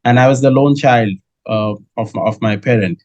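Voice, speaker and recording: A middle-aged man from Kolkata with an Indian English accent, answering interview questions in a measured way with many filler sounds. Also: medium pitch, a little mellow, dry recording, dull audio. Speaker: guest, dairy business head.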